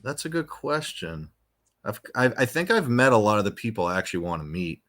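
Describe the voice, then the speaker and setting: A man with a very deep, low-set voice chats as a podcast guest. He rambles comfortably, restarting his sentences and padding them with fillers. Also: very animated, brisk, fairly bright tone. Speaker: podcast guest, rock singer. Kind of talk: casual chat.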